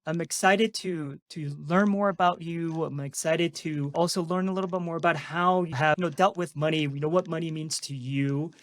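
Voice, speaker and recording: A man's voice: on the high side, fairly even intonation, moderate pace, few pauses, no echo. A man from Colombia hosting a finance podcast, upbeat and encouraging, often repeating his phrases. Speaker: podcast host. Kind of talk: podcast interviewing.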